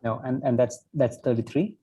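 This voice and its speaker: A man talking informally and haltingly as the moderator of an online meeting, with an apologetic manner. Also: mid-range voice, steady tone, dark tone, husky. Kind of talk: informal, hesitant meeting talk.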